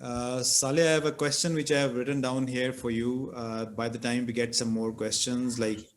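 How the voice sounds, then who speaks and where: A middle-aged man, chairing a conference Q&A over a video call in a measured way, with fillers. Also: mid-range voice, little pitch movement. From Pakistan. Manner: measured, with fillers and repeated phrases. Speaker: session chair. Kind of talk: moderating a conference Q&A. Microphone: laptop mic on a video call.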